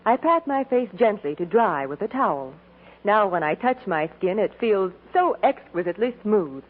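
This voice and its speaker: A woman's voice: mid-range voice, lively intonation, moderate pace, slightly rough. A woman playing a glamorous film star giving beauty tips in a 1940s radio testimonial, on a tinny recording. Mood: poised.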